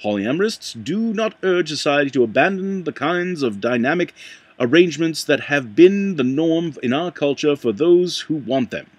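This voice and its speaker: A man reading a nonfiction book aloud in a put-on accent, halting at times and correcting himself as he stumbles over words. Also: a little high, expressive, slightly rough, no echo. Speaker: amateur book reader.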